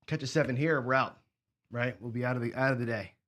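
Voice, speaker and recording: Hoarse, sniffly man talking through bets. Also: medium pitch, quick pace, a bit bright, a little hiss or hum. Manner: thinks aloud while counting chips and placing bets. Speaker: host of a craps strategy show. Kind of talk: casual play-along commentary.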